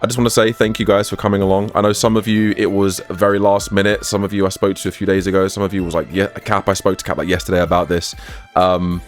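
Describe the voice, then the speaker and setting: A man hosting a casual gaming podcast, chatty and quick to laugh, often repeating words as he starts a thought. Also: deep voice, flat delivery, soft background music. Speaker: podcast host.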